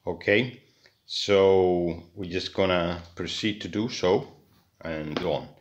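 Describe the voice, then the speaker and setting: A man's voice: deep voice, fairly even intonation, slow, lo-fi sound. A man talking through an electronics repair at his workbench, hesitant, with many fillers and restarts. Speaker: electronics hobbyist. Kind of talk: hands-on repair tutorial. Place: indoors at a workbench.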